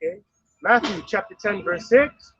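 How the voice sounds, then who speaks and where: A man out on a city street reads scripture aloud in a steady voice. His tone is high and bright with a husky grain, and the recording is dull and lo-fi. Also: normal speed, no room sound. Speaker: group member reading scripture.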